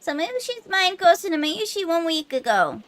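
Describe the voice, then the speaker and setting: A woman's voice: very high-pitched, sing-song, moderate pace, fairly bright tone, no echo, pitched above the performer's own voice, full character voice. A woman voicing a naive, curious, childlike anime girl from a visual novel, with a cutesy, wondering manner. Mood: puzzled, curious. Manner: cutesy, wondering, speaks of herself in third person.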